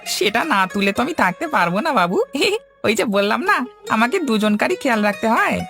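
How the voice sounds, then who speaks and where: A man's voice: high voice, big pitch swings, a little fast, vocal fry, exaggerated voice. A man voicing a wily, cheeky manservant who spies for both master and mistress in a cartoon. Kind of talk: cartoon voice acting. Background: music.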